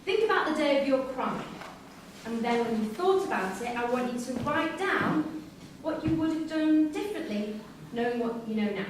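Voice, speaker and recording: A woman's voice: high-pitched, animated, normal speed. A woman playing a firm, sceptical prison course tutor in a staged play reading. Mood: instructing. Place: theatre room at a staged reading. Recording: echoey hall, distant mic, hissy ambience.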